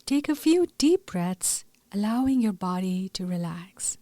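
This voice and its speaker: Slow, soothing woman guiding a meditation. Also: mid-range voice, expressive, dark tone, dry recording. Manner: soothing, deliberate, measured phrasing. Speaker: meditation guide. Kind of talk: gentle scripted guided meditation.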